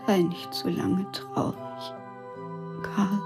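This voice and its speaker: An actress playing a frail, dying wife in a radio drama, faint and calmly resigned. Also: female voice, medium pitch, slow. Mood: frail resignation. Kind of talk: audio drama dialogue. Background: music.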